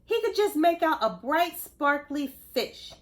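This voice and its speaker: A woman reading a story aloud to young children, expressive, pausing to explain things and ask simple questions. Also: high-pitched. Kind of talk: animated read-aloud narration.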